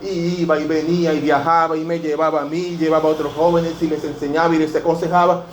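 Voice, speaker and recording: Venezuelan pastor in his 50s, slightly high-pitched, preaching earnestly in a reverberant church with faint background hiss. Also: flat delivery, fast talker. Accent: Venezuelan Spanish. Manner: earnest, fills every phrase with "dear brothers". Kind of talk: sermon. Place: church sanctuary. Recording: echoey church room, faint noise.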